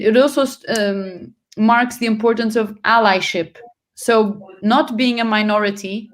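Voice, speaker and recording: A woman from Portugal, not a native English speaker, answering as a guest on a panel in an earnest way, often restarting and correcting herself mid-sentence. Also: medium pitch.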